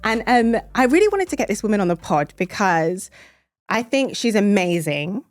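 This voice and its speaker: A woman's voice: medium pitch, very animated, normal speed. A woman in her thirties hosting a podcast in a casual, chatty way, effusive and full of compliments. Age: 30s. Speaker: podcast host. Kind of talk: casual chat.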